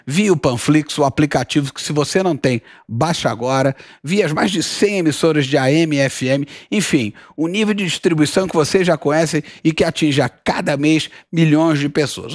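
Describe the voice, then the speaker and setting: A man hosting a business interview show, chatty and effusive, often jumping in with quick remarks. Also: fairly high pitch, expressive, dry recording, clean studio sound. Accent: Brazilian Portuguese.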